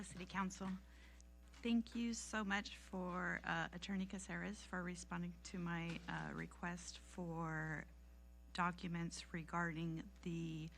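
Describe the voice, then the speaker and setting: Low, creaky woman's voice over faint hum. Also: fairly bright tone. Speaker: member of the public. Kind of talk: public comment at a council meeting.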